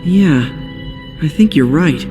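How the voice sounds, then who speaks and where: A man's voice: fairly high pitch, animated, slow pace. A man voicing a confident, wry teenage boy hero in a video game, talking in a casual, teasing way. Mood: matter-of-fact. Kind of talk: video game cutscene acting. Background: loud music under the voice.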